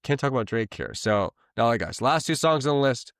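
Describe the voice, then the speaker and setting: A man co-hosting a podcast, talking loosely and profanely about songs, rambling and excitable. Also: medium pitch, a little fast, clean tone, no echo, clean studio sound. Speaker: podcast co-host. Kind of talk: casual chat. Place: lives in Los Angeles.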